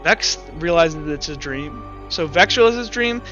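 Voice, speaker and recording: A man running a tabletop roleplaying game as game master, talking casually and haltingly as he thinks aloud. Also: a little high, lively intonation, sharp tone, lo-fi sound, soft background music.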